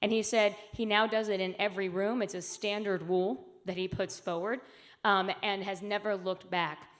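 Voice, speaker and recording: A woman's voice: mid-range voice, fairly even intonation, normal speed. A woman speaking earnestly at a conference, with emphatic phrasing and frequent fillers. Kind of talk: keynote talk. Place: conference hall.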